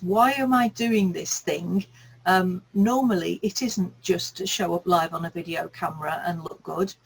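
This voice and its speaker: A woman's voice: fairly low pitch, a little hiss or hum, low-quality recording. A middle-aged woman from Birmingham with a light Birmingham accent, a coach talking as an interview guest in an earnest, encouraging way. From Birmingham, England. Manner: earnest, encouraging, explains at length. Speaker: guest speaking and sales coach. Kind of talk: reflective interview talk.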